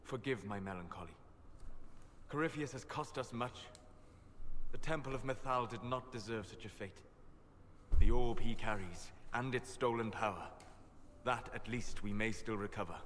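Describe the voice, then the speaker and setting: An actor playing a grave, melancholy elven sage in a video game, with formal and deliberate phrasing. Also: male voice, fairly low pitch, a bit bright, close to an everyday voice. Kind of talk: measured video game drama. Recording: reverberant game hall, faint noise.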